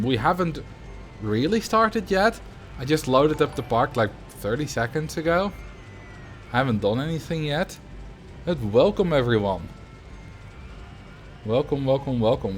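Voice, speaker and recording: Chatty Dutch-accented man streaming. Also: mid-range voice. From Netherlands. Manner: chatty, greets viewers, thinks aloud while building. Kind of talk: casual livestream commentary.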